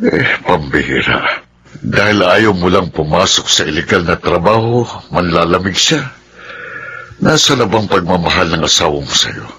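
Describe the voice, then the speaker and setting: A man's voice: deep voice, expressive, moderate pace, sharp tone, a little hiss or hum. An actor playing a fatherly older man in a radio drama, giving disapproving advice to his son. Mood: concerned.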